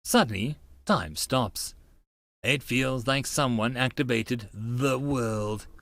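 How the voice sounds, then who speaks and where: A man's voice: mid-range voice, slow, fairly bright tone, no echo, clean studio sound. A man reading scripted first-person story narration as an audiobook narrator, in a dramatized style.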